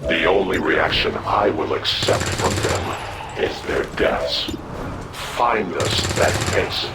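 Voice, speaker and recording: A man's voice: low voice, slow. A man playing a solemn, fierce alien warrior in a video game, speaking in formal, clipped, grave phrases. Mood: cold menace. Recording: loud game music over the voice. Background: loud music, gunfire.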